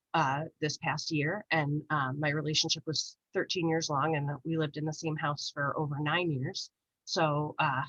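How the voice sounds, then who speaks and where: A woman's voice: deep voice, no room sound, muffled recording. A woman from the Rochester area telling personal stories on a panel in a hesitant, earnest way, with lots of ums. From Rochester area, USA. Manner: hesitant, many fillers, soft and earnest. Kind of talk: personal storytelling in a panel conversation.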